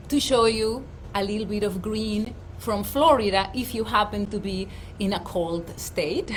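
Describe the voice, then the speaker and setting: A middle-aged woman with a Dominican accent speaks as a life coach, earnest and emphatic in her delivery. Her pitch sits in an ordinary middle range. She records outdoors at home, with faint ambient sound behind her voice. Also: slight background noise, low-quality recording. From Dominican Republic. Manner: warm, earnest, emphatic presenter. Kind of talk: motivational livestream talk.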